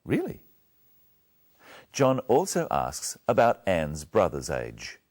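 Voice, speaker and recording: A man teaching an English lesson, explaining phrases in a slow, deliberate, instructive way. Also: deep voice, wildly swooping pitch, a little mellow. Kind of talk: scripted lesson narration.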